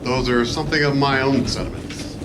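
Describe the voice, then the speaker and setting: A man playing a calm, authoritative adventure hero in a radio play, measured, formal and dryly understated. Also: mid-range voice, natural voice. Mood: calm, serious. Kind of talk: old-time radio play acting. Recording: noisy live convention stage.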